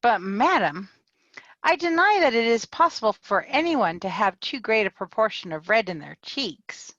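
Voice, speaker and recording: A woman's voice: a little high, big pitch swings, normal speed, fairly bright tone, no echo. A woman reading a tipsy, lovesick young woman who contradicts insistently, heard over a dull, dry video-call line.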